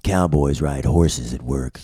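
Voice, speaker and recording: A man playing a world-weary, cryptic office drifter, deadpan, in a noir comedy table read. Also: a bass voice, slow, breathy edge, no echo, clean studio sound, voice pushed down, exaggerated voice. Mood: brooding.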